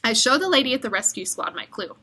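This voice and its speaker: A woman reading a children's picture book aloud as an expressive storyteller. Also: fairly high pitch, fast talker, husky.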